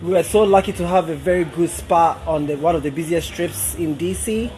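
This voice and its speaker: High-voiced young man from Ghana. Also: a little fast, low-quality recording. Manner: friendly, explanatory, some repeated words. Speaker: event coordinator and guest services manager. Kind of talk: interview guest. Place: upstairs lounge of a restaurant.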